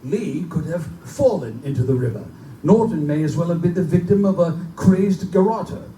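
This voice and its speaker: Man playing mellow skeptical professor, echoey room. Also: a little high, animated, moderate pace, far from the mic, natural voice. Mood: skeptical caution. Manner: formal, reasoning, articulate. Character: measured, skeptical English male professor, rational and scholarly. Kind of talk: live stage reading of gothic horror drama. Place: live performance in a bookstore. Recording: echoey live bookstore recording from afar, faint noise.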